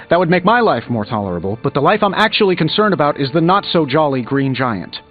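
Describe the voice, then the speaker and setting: Cocky wisecracking genius superhero, game dialogue, slightly high voice, muffled with music behind. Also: male voice, very animated, brisk. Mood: concerned, wry. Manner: sarcastic, glib, wry. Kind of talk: video game dialogue, quippy banter.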